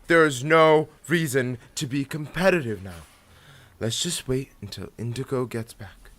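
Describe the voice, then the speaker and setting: A man's voice: mid-range voice, measured pace, fairly bright tone, lightly acted. A man playing a weary, reproving mentor in an audio drama, stern and easily exasperated. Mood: exasperated, scolding.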